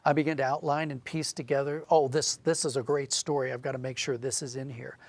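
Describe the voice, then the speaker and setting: A man speaking as an interview guest, a writer explaining and telling stories in an animated, conversational way. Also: mid-range voice, fairly quick, no room sound.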